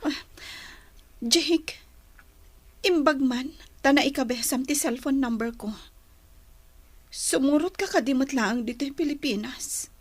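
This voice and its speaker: A troubled young daughter in a radio drama. Her voice sits high, and she speaks earnestly, with reproach. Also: a little slow, pauses often. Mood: surprised. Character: troubled young woman, disillusioned former girlfriend and dutiful daughter. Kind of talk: Ilocano radio drama acting.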